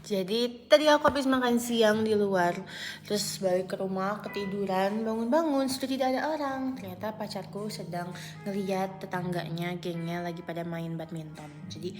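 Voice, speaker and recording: A woman's voice: mid-range voice, moderate pace, over music. A woman in her twenties talking casually to camera at home in a vlog, chatty and full of fillers, often slipping into English phrases. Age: 20s. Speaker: vlogger. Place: at home, indoors. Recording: echoey room.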